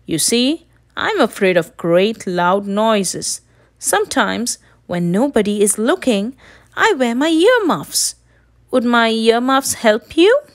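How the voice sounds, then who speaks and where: Woman voicing a confiding storybook lion, animated. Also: a little high, takes it slowly, no room sound, clean studio sound, full character voice. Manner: confiding, helpful. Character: big lion secretly scared of loud noises.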